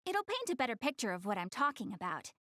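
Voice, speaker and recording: An actress voicing a proud, easily flustered young anime goddess in a video game, haughty yet earnest in manner. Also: female voice, on the high side, very animated, fast talker, fairly bright tone, no echo, studio quality. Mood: earnest explaining. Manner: haughty, stammers when flustered, explains things earnestly. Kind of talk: English anime game voice acting.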